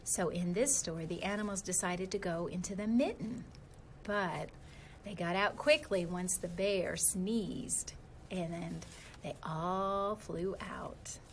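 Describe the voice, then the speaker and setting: Slow-paced woman reading a children's storybook. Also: lowish voice, slight background noise. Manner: expressive, addressing young listeners with simple questions and asides. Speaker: teacher reading aloud to young children. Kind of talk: children's picture-book read-aloud.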